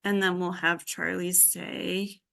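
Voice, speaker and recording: A woman's voice: fairly low pitch, little pitch movement, slow, gravelly, fairly bright tone. A woman giving an informal live coding demonstration as instructor, tentatively thinking aloud as she works. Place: indoor group workshop session.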